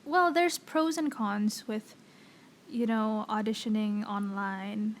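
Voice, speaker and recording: A woman's voice: medium pitch, slow pace, a little hiss or hum. A young woman co-hosting a casual podcast chat, her voice a bit worn from singing, who says "like" a lot. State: losing her voice. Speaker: podcast co-host.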